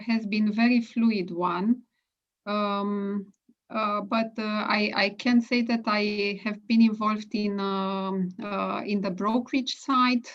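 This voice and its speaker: A woman from Romania with a Romanian accent, introducing herself on a video call, halting with many fillers. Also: medium pitch. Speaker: brokerage and marketing staff. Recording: dull lo-fi call audio.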